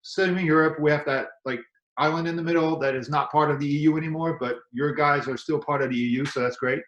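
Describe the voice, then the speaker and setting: A man from the Netherlands with a Dutch accent and Dutch as his first language, presenting in a relaxed, friendly way on a webinar. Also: on the high side, fast talker, highs cut off. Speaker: cybersecurity presenter. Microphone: video call mic. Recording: roomy call audio.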